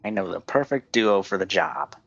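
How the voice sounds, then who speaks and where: A man's voice: low voice, expressive, normal speed, creaky, fairly bright tone, dry recording, dull audio, soft background music. A man giving casual live gameplay commentary as a game streamer.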